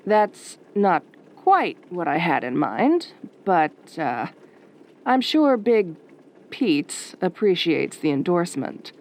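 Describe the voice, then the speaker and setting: A woman playing a wry, flirtatious late-night radio DJ, soft and velvety, dryly teasing in an audio roleplay. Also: fairly low pitch, sing-song, takes it slowly. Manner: dry, teasing, sardonic.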